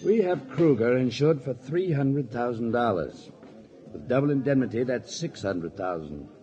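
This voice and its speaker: A man playing a measured, matter-of-fact insurance executive in a 1940s radio drama. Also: medium pitch, animated, warm tone, lightly acted. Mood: serious. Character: businesslike male insurance company vice president. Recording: muffled old radio, crowd noise behind.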